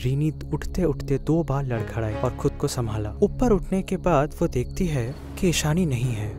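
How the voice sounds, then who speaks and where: A man's voice: medium pitch, normal speed. A man giving scripted narration of a spooky children's story. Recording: clear music behind the voice. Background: background music.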